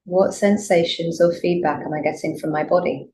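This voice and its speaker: A woman teaching yoga nidra, leading a guided meditation in a gentle, soothing, unhurried way with pauses. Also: on the low side, fairly even intonation. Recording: clear background noise, room ambience.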